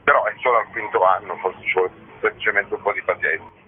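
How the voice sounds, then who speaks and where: A man's voice: lowish voice, fairly even intonation, quick pace, slight background noise. A man giving a conversational radio interview as a festival organiser, talking in a discursive, frank, matter-of-fact way. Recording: muffled, lo-fi radio interview audio.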